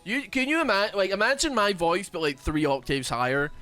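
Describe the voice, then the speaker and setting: A man's voice: sits high, fairly quick, pushed and bright, faint music behind. A Scottish man with a Glaswegian accent and a lisp, mumbling and rambling in casual chat while streaming a game. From Scotland. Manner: mumbling, rambling with many fillers.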